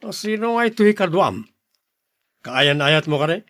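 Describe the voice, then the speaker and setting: A man's voice: a little high, very animated, a little slow, fairly bright tone, no echo, clean studio sound. A man with an Ilocano accent acting a stern, blustery older brother who turns smitten suitor in a radio drama. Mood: curious. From Philippines.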